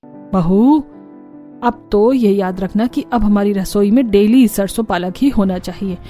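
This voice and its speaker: A woman's voice: medium pitch, a little slow, warm tone, soft background music, close to an everyday voice. A woman voicing a strict mother-in-law with a firm, declarative manner in a cartoon story. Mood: commanding. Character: strict mother-in-law devoted to her favorite food.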